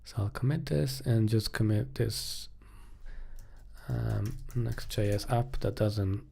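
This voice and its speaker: A man's voice: fairly low pitch, slow, creaky, warm tone, slightly rough. A man giving casual live-coding commentary, thinking aloud in a hesitant way as he works.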